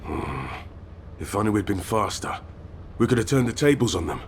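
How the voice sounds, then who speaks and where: An actor playing a serious, inquisitive squad member in a science fiction video game. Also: male voice, on the low side, very animated, normal speed, slight background noise. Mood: regretful, curious. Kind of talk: video game voice acting, team dialogue.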